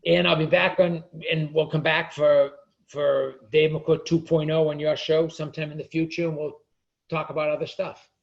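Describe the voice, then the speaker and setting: A man's voice: a little high, level tone, normal speed, creaky, lo-fi sound. A man being interviewed as an author, speaking indignantly and often restarting his sentences. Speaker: author and guest. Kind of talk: interview conversation.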